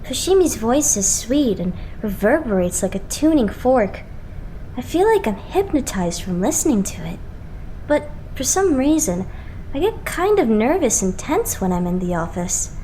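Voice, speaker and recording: Woman as an excitable, high-pitched boy idol. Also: normal speed, slight background noise, poor audio. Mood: musing, nervous. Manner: excitable, earnest, chatty. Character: eager, starstruck, chatty teenage boy idol. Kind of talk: anime-style fan dub acting.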